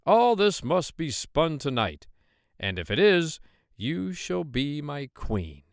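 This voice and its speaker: A man's voice: on the high side, big pitch swings, slow pace, vocal fry, no room sound, clean studio sound, voice pushed up, heavily acted. A man voicing a greedy storybook king, imperious and emphatic as he gives orders. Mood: commanding. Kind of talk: storybook character voice.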